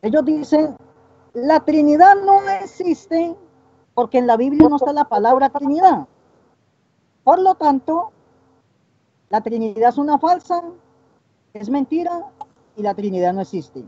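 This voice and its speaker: A man in his twenties leads an informal theological discussion as a Bible teacher, halting and often seeking agreement, on a muffled stream. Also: very high voice, lively intonation, mellow, dry recording. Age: 20s. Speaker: Bible teacher co-hosting a broadcast.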